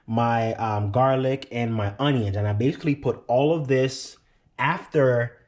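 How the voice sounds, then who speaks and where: A man's voice: medium pitch, darkish tone, echoey room. A man with a Jamaican accent, chatting to viewers about his cooking in a casual vlog style from his home. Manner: chatty, storytelling, addresses viewers directly. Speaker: cooking vlogger. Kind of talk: casual cooking show chat. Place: small apartment living room, then home kitchen.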